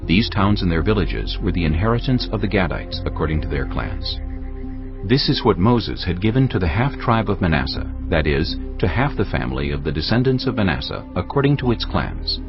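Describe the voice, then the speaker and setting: Deep-voiced male narrator over music. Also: very animated, brisk, highs cut off. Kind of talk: scripted audio Bible narration. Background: prominent background music.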